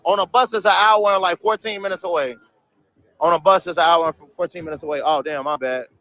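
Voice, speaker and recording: A man's voice: high voice, fast talker, bright, forceful tone. A young man livestreaming from a city street, chatting loosely with his viewers in heavy slang and swearing, reading comments aloud and laughing at them.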